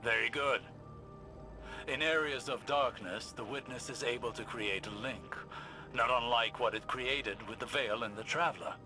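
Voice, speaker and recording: An actor playing a learned, cautious male scholar in a science fiction game, speaking formally and deliberately with precise phrasing. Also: medium pitch, sharp tone, faint music behind. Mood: intent, solemn. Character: grave, learned male scholar in a sci-fi world, measured and cautious. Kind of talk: dramatic video game dialogue.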